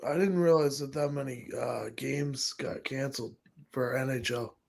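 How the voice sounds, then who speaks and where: A man's voice: medium pitch, steady tone, moderate pace, vocal fry, darkish tone. A man co-hosting a sports show, chiming in with short, laughing replies. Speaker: co-host. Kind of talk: casual chat.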